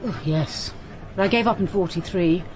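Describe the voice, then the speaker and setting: An actress playing a shrewd middle-aged English woman detective in a radio drama, with a husky voice and a dry, blunt manner. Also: lowish voice, normal speed, darkish tone, faint music behind, close to an everyday voice, poor audio. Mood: dry, curious. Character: shrewd, dry-witted female private detective, ex-wartime agent. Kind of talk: British radio drama acting.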